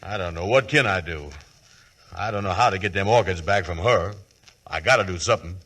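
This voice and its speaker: Low voiced slow-witted flower shop man, nervous and stammering, old radio comedy. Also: fairly bright tone. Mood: worried, pleading. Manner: slow-witted, hesitant, stammering, ungrammatical folksy talk. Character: Andy, bumbling, flustered, easily cowed flower shop man. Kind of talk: 1940s radio comedy acting. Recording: vintage 1940s radio broadcast.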